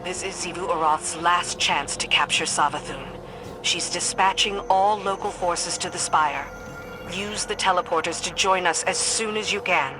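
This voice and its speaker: A woman playing a loyal, battle-hardened commander in a video game, with a direct, measured manner. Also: fairly low pitch, natural voice, low-quality recording. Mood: urgent. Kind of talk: video game cutscene acting. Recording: loud music behind. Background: music.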